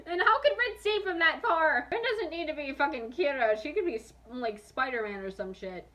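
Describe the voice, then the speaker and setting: A woman's voice: sits high, expressive, moderate pace, bright, forceful tone. A young adult woman hosting a dramatic reading, self-mocking and breaking into amused asides and laughter. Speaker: host reading own old fanfiction.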